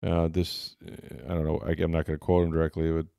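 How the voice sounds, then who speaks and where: A man's voice: a bass voice, completely flat delivery, brisk, vocal fry, mellow, no room sound, studio quality. A man hosting a sports podcast, chatting casually about games and players. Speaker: sports podcast host and football reporter.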